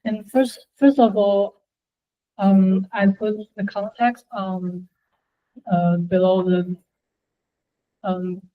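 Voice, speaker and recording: A young woman whose first language is Chinese, presenting her portfolio to a class in a halting way with many fillers. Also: mid-range voice, fairly even intonation, phone-quality sound. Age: young adult.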